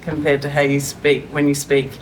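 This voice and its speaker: A woman's voice: deep voice, monotone, moderate pace, slight background noise, rough recording. A woman from Australia, asking a question on a panel and searching for her words. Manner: searching, with restarts. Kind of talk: panel discussion.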